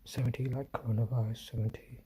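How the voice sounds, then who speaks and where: Solo male streamer, hushed half-whispered delivery, mellow tone, faint hiss behind. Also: little pitch movement, fast. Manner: talking low, often whispering, with apologies and restarts. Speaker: livestream host. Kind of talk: solo livestream commentary. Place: indoors at home, quiet room. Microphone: phone.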